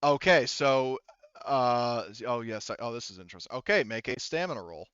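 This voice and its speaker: A man running an online tabletop roleplaying game as game master, thinking aloud in a halting way over a headset mic. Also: mid-range voice, animated, a bit bright, smooth, no echo, dull audio. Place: online voice session.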